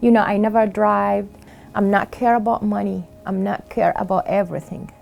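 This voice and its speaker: A middle-aged woman with an Iraqi Arabic accent telling her own story in an interview, halting, with many fillers and pauses. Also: mid-range voice, fairly even intonation, gravelly, a little mellow, dull audio, soft background music. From Iraq. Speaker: refugee sharing her story. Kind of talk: interview testimony.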